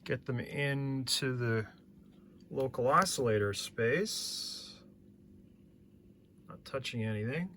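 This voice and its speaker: Slow-paced man demonstrating electronics, noisy recording. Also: mid-range voice. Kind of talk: hands-on technical demonstration, thinking aloud. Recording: steady background noise.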